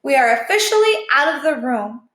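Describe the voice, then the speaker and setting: A woman voicing a gruff, insistent male police officer in a storybook, curt and urgent. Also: high voice, very animated, moderate pace, a bit bright. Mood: grudging. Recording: echoey room.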